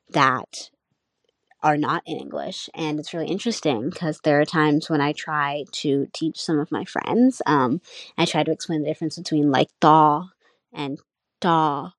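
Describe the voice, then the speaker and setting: Young adult woman, native Nepali speaker, low voice, musing student reflection, dry close recording. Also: steady tone, unhurried, dry recording. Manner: thoughtful, searching, full of "um" and "like". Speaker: college student. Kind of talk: casual spoken class reflection.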